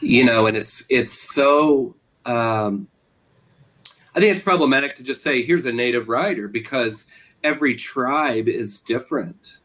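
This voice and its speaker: A man's voice: mid-range voice, measured pace, a bit bright. Hesitant American man, thoughtful interviewee. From Oklahoma, USA. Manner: hesitant, searching, trails off and repeats phrases. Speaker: interviewed novelist.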